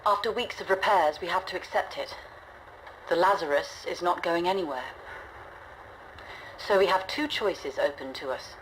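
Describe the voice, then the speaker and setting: A resolute, weary woman in command of a stranded starship , played by an actress, speaks in a low voice. Her delivery is measured, grave and reflective, like a scripted log in a science fiction game. A faint noisy ambience sits behind her words. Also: fairly bright tone, natural voice. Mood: resigned, troubled. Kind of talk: scripted audio log narration, dramatic game acting.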